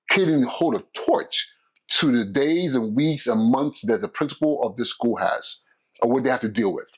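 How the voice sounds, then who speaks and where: A middle-aged man from California hosting his own podcast, talking casually with lots of restarts and fillers. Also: medium pitch. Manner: conversational, many restarts and fillers. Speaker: podcast host and school principal. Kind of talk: casual solo podcast talk.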